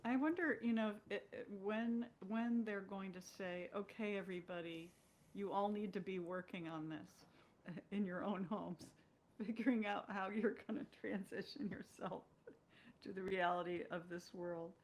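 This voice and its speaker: A woman with a mid-range voice talks casually as a guest in conversation. She is thoughtful, pausing partway through sentences and picking up the thread again. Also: medium pitch, steady tone, a little hiss or hum. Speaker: guest, stage and screen actress.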